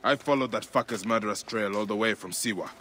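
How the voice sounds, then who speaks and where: A man's voice: lowish voice, fast, pushed and bright, long reverb tail, slight background noise. An actor voicing a grim, relentless warrior father seeking vengeance in a video game cutscene, terse and solemn.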